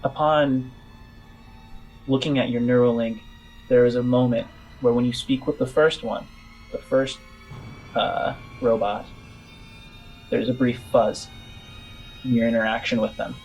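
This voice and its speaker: A man narrating as game master of a tabletop role-playing game, phrasing things haltingly and deliberately with pauses. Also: medium pitch, fairly even intonation, darkish tone, rough recording. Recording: music behind the voice. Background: music.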